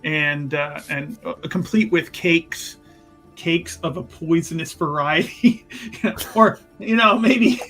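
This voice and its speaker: A man with a bright, fairly high voice chats as a player in a tabletop game. He rambles playfully and slips into mock-grand phrasing, with music playing behind him. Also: slow pace. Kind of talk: casual playful in-game banter and planning. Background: background music.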